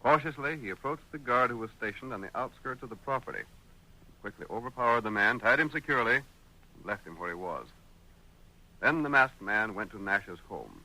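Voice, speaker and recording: A man's voice: mid-range voice, lively intonation, normal speed, heavily acted, rough recording. A man with an American accent giving formal, dramatic narration for a 1930s radio adventure. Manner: formal, dramatic announcer delivery. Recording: sharp old 1930s radio recording.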